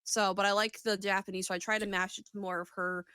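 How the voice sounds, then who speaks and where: A woman's voice: medium pitch, level tone, fast, pushed and bright, clean tone, no room sound, studio quality. A woman co-hosting a podcast, chatting casually in a rambling, sweary way. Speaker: podcast host. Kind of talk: casual chat.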